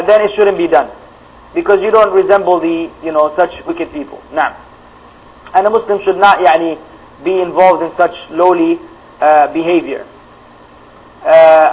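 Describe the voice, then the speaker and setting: A man's voice: sits high, dull audio. A man whose first language is Arabic, answering viewers' religious questions live as a preacher, reading each question aloud and replying firmly, repeating key phrases for stress.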